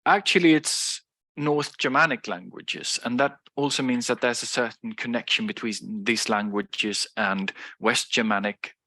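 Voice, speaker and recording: A man's voice: mid-range voice, a little slow, bright, forceful tone, no room sound. A man who is a native Swedish speaker, giving an explanatory lecture with frequent fillers and restarts. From Sweden. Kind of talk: online lecture.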